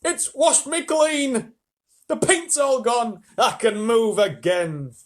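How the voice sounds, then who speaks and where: A man voicing an excitable, boastful male centipede in a storybook, shrill and gleeful. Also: slow pace.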